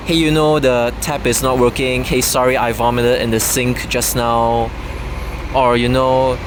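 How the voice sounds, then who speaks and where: A man chatting unscripted to his camera, rambling along with plenty of fillers and restarts. His voice sits in an ordinary middle register. A steady hum of air conditioning or traffic runs clearly behind him. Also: mid-range voice, unhurried. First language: English. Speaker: vlogger. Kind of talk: unscripted casual monologue to camera.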